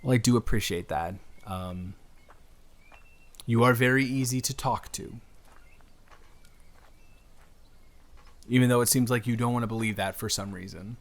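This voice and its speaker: A man's voice: medium pitch, normal speed, close to an everyday voice. A man improvising an audio roleplay as a friendly, slightly awkward young stranger, talking casually and earnestly to the listener with stammered restarts. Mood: friendly, curious.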